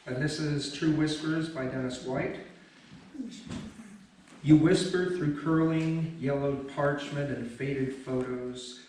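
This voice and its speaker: A man's voice: on the high side, steady tone, slow pace, darkish tone. Man reciting in a very echoey space. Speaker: guest reader. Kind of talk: poetry reading at a live event. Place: indoor event space, recorded from a distance. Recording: very echoey room, distant mic, faint noise.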